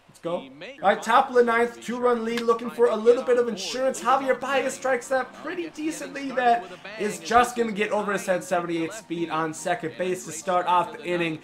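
A young man doing casual, excitable play-by-play over his own video game, a bit congested from a cold, in a small room at home. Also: sits high. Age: young adult. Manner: excitable play-by-play, calls hits like a broadcaster. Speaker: gaming YouTuber. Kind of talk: casual gameplay commentary.

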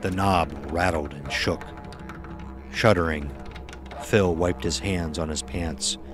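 A man's voice: low-pitched, slow pace, music in the background. A man narrating a scripted horror audio drama as its storyteller.

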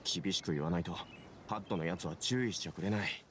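A man's voice: sits low, a little fast, a little hiss or hum, lightly acted. A man playing a stern, worried, protective big brother in a Japanese anime game.

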